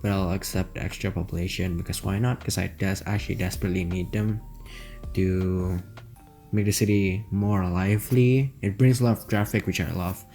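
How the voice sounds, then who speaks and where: Low-voiced man. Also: a little mellow. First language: Indonesian. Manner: rambling, many fillers and self-corrections. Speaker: gaming channel host. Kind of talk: casual gameplay commentary.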